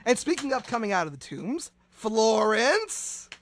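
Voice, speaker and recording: A man hosting a comedic radio show, excitable and stammering through restarts, now and then shouting. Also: sits high, a little slow, a little hiss or hum.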